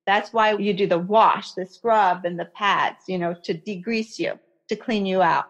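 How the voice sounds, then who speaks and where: A woman's voice: medium pitch, steady tone, measured pace, muffled recording. A woman raised in America by Iraqi parents, explaining skin care in a chatty interview and often checking in with "okay?" and "you know". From Iraqi family, raised in America. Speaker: skincare expert guest.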